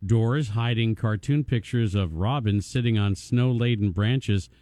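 A man's voice: lowish voice, a little slow, warm tone, no room sound. A man co-hosting a radio show, reading a tabloid story aloud in a matter-of-fact way.

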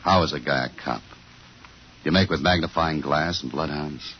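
A man's voice: rumbling low, moderate pace, a little hiss or hum. An American actor playing a weary, terse police detective narrating his trouble in a 1950 radio noir drama. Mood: brooding. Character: hard-boiled American police detective, shaken husband of suspect. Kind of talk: 1950s radio noir acting, first-person narration. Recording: muffled vintage 1950 radio recording with music.

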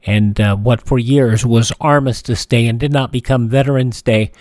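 An American man hosting a radio show, reading a history rundown informally, with halting asides. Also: mid-range voice, steady tone, a little mellow, dry recording, studio quality. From Kansas, United States.

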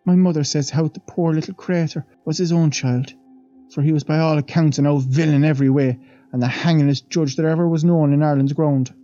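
A man's voice: on the high side, steady tone, fairly quick, mellow, faint music behind, voice pushed up, close to an everyday voice. A man voicing a gossiping Irish housemaid. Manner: chattering, fearful, pious asides. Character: gossiping middle-aged Irish housemaid, superstitious. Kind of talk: audiobook reading. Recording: dull lo-fi dry recording.

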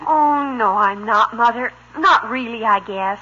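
A dull, old radio recording of an American teenage girl. Her voice is high and bright, full of starry-eyed melodrama and easily wounded feeling. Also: measured pace, a little hiss or hum. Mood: sheepish. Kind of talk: 1950s radio sitcom acting.

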